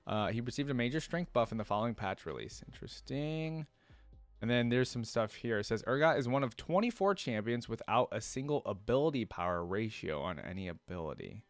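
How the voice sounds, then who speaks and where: A man's voice: medium pitch, expressive, a little fast, no room sound. A man hosting a reaction video in a casual, curious way, thinking aloud as he reads and comments.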